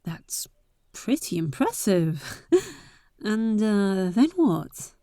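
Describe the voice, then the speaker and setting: Bold young adventurer played by a woman for roleplay audio drama: soft, dark tone, very dry close sound, teasing and impatient yet caring. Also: mid-range voice, very animated, drawn-out words, no echo. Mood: curious, teasing.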